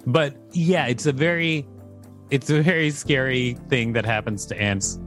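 A man with a mid-pitched voice chats as a podcast co-host. His delivery is casual and conversational, with faint music behind him. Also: a little slow, a bit bright. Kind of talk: casual commentary.

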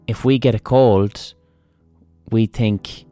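A man's voice: lowish voice, fairly even intonation, slow pace, warm tone, soft background music. A man with an Irish accent hosting a podcast, talking bluntly in a casual monologue, swearing freely and thinking aloud as he goes. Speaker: podcast host.

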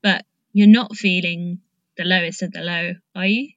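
A woman's voice: on the low side, steady tone, moderate pace, a bit bright, dry recording, dull audio. A woman hosting a casual conversation, engaged and encouraging as she probes with questions and reflections. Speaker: podcast host.

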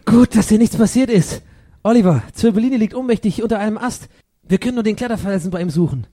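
A man playing an excitable, easily miffed boy detective in a comic radio play. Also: high voice, fast talker. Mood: relieved.

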